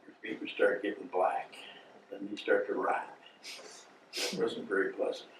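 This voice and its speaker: An American man in his nineties, a war veteran, reminiscing informally to an audience in a halting, plain-spoken way. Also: on the low side, flat delivery. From United States. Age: 90s. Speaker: war veteran, guest speaker. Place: indoor meeting room before an audience. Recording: echoey room, distant mic, faint noise.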